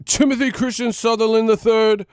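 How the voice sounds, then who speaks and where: A man mocking a shrill, prim scolding parent. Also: steady tone, moderate pace, smooth, no echo, clean studio sound. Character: fussy parent scolding a son by full name.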